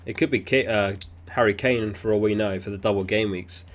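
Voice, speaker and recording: Sniffly man, lowish voice. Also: fairly quick. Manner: frequent throat clearing; relaxed, chatty, thinks aloud with fillers. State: sniffly, getting over an illness. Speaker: fantasy football livestream host. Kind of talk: casual livestream chat reading viewer messages.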